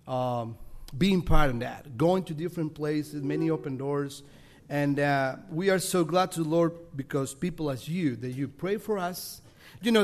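Spanish-accented man, halting English. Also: fairly high pitch. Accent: Salvadoran Spanish. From El Salvador. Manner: halting non-native English, self-deprecating, laughing often. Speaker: missionary leader. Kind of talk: guest address to a congregation.

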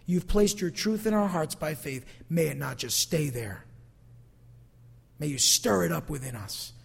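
High-voiced male preacher in a reverberant hall. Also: lively intonation, unhurried, creaky, husky. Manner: emphatic, conversational, repeats phrases for emphasis. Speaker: pastor preaching. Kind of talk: sermon to a congregation, closing in prayer.

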